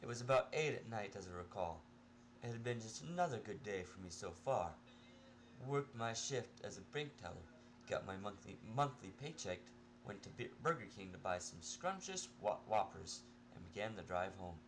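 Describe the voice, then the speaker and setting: A man with a lowish voice reads first-person fiction aloud. His delivery is informal and amateur, with frequent slips over words. A faint noise sits behind the recording. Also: animated, normal speed. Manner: informal, stumbles over words and swears at own mistakes. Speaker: amateur fan fiction reader. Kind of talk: first-person story narration.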